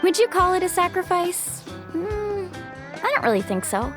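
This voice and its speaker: A friendly young island guide in an anime game. Her voice is high and very lively, breezy and casual in manner, over background music. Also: moderate pace. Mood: reflective. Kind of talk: anime-style video game voice acting.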